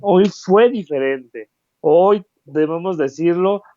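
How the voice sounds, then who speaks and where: A man's voice: high voice, takes it slowly, dark tone, no room sound. A man with a Mexican Spanish accent co-hosting political commentary, speaking earnestly and repeating phrases for emphasis. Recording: dry, dull lo-fi sound.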